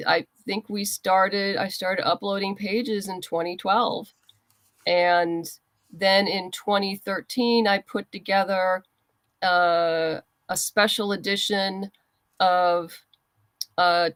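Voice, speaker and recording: A woman's voice: mid-range voice, a bit bright, dry recording. A woman being interviewed as a writer, giving explanatory, conversational answers.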